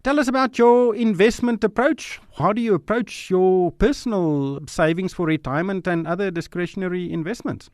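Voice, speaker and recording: A man with a fairly high voice hosts an interview. He opens with a scripted introduction and then moves into conversational questions. The recording is dry and close. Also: moderate pace, hardly any pauses, no room sound. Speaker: interview host.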